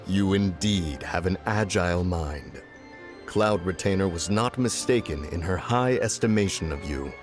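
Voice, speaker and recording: A deep, solemn ancient spirit. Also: male voice, loud music behind the voice. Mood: composed. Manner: formal, archaic, measured. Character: ancient solemn male adeptus in human guise. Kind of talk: game voice acting.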